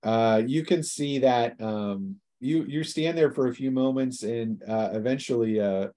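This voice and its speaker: A man running a tabletop roleplaying session as game master, narrating scenes in a halting, drawn-out way. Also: mid-range voice, warm tone.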